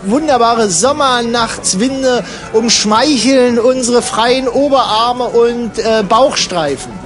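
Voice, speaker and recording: A man's voice: very high-pitched, slow pace, fairly bright tone, faint music behind. A man in casual, rambling conversation, exclaiming and mock-dramatic in manner.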